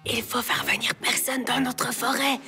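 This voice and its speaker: Fretful, high-voiced cartoon grandmother. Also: sing-song, moderate pace, creaky, pushed and bright, soft background music, exaggerated voice. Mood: alarmed. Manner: dramatic, fretful. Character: fretful, admiring grandmother in a village. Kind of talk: French cartoon dub acting.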